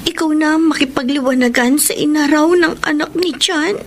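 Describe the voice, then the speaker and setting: A woman's voice: sits high, moderate pace, loud music behind the voice. An actress playing a timid, anxious young woman troubled by doubts, in an earnest, melodramatic Filipino radio drama style. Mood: troubled, pleading.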